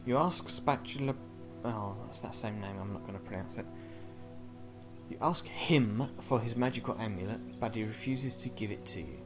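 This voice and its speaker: A man playing a video game, reading its text aloud and thinking out loud with casual asides. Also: fairly low pitch, normal speed, over music. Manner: thinking aloud between read passages. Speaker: video game player and commentator.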